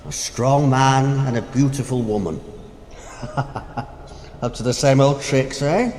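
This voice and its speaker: An actor playing Death as an ageing, wry gamester in an audio drama, pompous and teasing in manner. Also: male voice, mid-range voice, slow, darkish tone, lightly acted. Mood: sly, mocking. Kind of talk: theatrical audio drama acting. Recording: noisy, echoey hall with long reverb.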